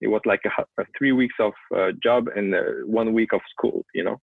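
A young French man with a French accent, a photographer telling his story in a casual interview, often halting and repeating words as he searches for English. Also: fairly low pitch, steady tone, creaky, husky, dry recording. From France. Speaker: guest photographer. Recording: tinny call audio, dry.

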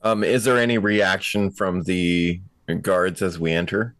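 Low, slow-talking man at a game table. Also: fairly even intonation, fairly bright tone, no echo. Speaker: player in a tabletop roleplaying game. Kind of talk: improvised descriptive roleplay narration.

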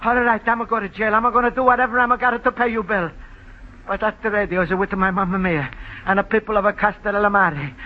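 An actor playing a gentle, timid Italian immigrant shopkeeper with an Italian accent and broken English, in 1940s radio comedy style. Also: male voice, high-pitched, steady tone, quick pace, natural voice. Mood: indignant. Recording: dull old radio broadcast with faint hiss.

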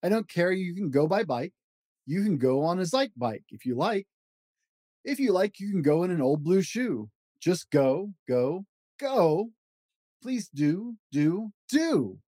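A man's voice: high-pitched, sing-song, unhurried, dark tone, no room sound, clean studio sound. A man hosting a children's story podcast, reading aloud expressively with an emphatic, rhythmic delivery.

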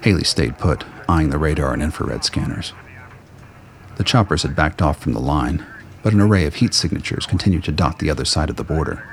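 A man with a deep, low voice narrates a scripted radio play in dramatic prose. Ambient effects and some noise sit behind his voice. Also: animated, brisk, creaky.

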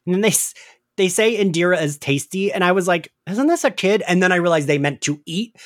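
A man's voice: high-pitched, expressive, fairly quick, dry recording, clean studio sound. A man co-hosting a casual recap podcast, chatty and giggly, peppering his talk with "like". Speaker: podcast co-host.

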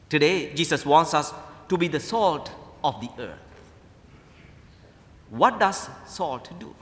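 Sri lankan guy preaching like a priest, voice kinda high and swinging up and down, big echoey church sound. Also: a bit bright. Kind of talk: sermon. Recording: echoey hall with long reverb.

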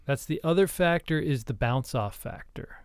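A man's voice: fairly high pitch, moderate pace, clear, resonant tone, no room sound, clean studio sound. A man co-hosting a podcast in a casual, conversational style. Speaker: podcast co-host. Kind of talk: casual podcast talk about screenwriting.

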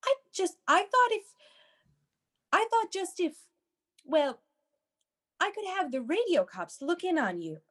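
Actress doing fussy prim agency lady, high voice that swoops around, reassuring, radio comedy style. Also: very animated, measured pace, pauses often, a bit bright, exaggerated voice. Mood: flustered, coaxing. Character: fussy, reassuring female babysitting agency dispatcher. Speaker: actor in a radio play. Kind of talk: comedic radio play acting.

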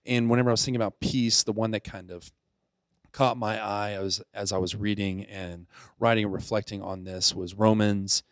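A man in his thirties from Florida talks in an earnest, plain-spoken way. His voice sits low for a man. The sound is dry and close, with no room echo. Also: steady tone, moderate pace. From Pensacola, Florida. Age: 30s. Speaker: youth ministry director. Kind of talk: casual chat, then reading a reflection.

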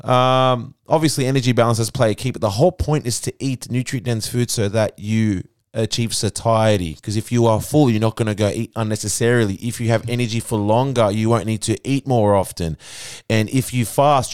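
A man, hosting a podcast in casual chat, telling stories in an animated way with lots of "you know". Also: fairly low pitch, fairly quick, few pauses, clean tone, no room sound, clean studio sound. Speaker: podcast host.

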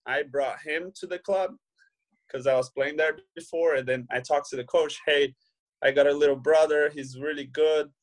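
A young Brazilian man with a Brazilian Portuguese accent, a footballer guesting on an interview, relaxed and full of "you know" fillers. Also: on the high side, normal speed, low-quality recording. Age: young adult. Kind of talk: casual interview chat.

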